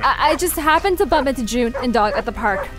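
An anxious young woman played by an actress leads her friends in an audio drama. Her voice is high and pleading, with music behind it. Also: lively intonation, a little fast. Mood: panicked, pleading.

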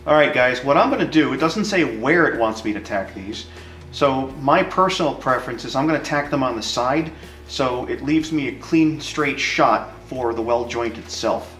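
A man's voice: medium pitch, animated, moderate pace, fairly bright tone, faint music behind. A man explaining a hands-on demonstration in a casual, informal way, as an instructor talking to viewers.

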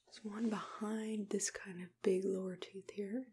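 A young woman whispering breathily and gently, reassuring the listener as she murmurs step-by-step in an ASMR dentist roleplay. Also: medium pitch, little pitch movement, unhurried. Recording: clear background noise with clicking tool sounds. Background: noise, clicks of dental tools.

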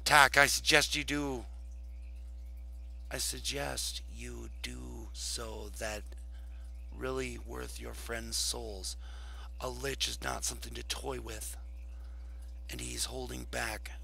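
A man's voice: mid-range voice, fairly even intonation, takes it slowly, creaky, long pauses, sharp tone, a little hiss or hum, full character voice. A man voicing a ruthless undead lich who makes threatening demands in a fantasy roleplay. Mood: menacing.

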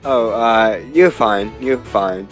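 A man plays a shy, courteous young man in an anime game roleplay. His lowish voice is hesitant and apologetic, with faint music behind. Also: takes it slowly. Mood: apologetic, nervous. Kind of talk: improvised Minecraft roleplay acting.

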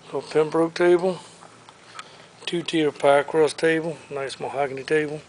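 A man with a slightly high voice walks a big warehouse showroom, rattling off furniture and collectibles in an upbeat salesman's patter. He is recorded on the camera he carries, with a faint hum of the space behind him. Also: fairly even intonation, low-quality recording. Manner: listing items briskly, upbeat salesman patter. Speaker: auctioneer. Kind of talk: walkthrough preview of auction items.

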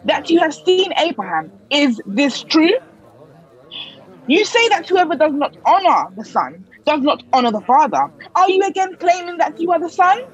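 Woman playing stern high priest, high swooping voice. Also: big pitch swings, normal speed, low-quality recording. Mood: stern, probing. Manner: imperious, probing, formal. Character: stern, accusing high priest interrogating a prisoner. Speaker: actor in an audio play. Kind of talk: dramatic audio play acting.

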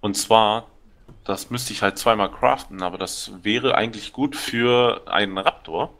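A man's voice: on the low side, a little slow, a bit bright. A man chatting casually while playing a co-op survival game, rambling through explanations of game mechanics. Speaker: gameplay co-commentator. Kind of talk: casual co-op gameplay chat.

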